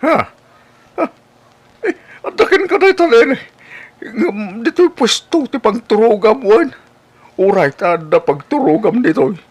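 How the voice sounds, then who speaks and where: An actor playing an eager male suitor in an Ilocano radio comedy drama, with an animated, drawn-out delivery. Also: very high voice, husky, exaggerated voice. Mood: excited, flustered. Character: eager male suitor, offering work and lodging.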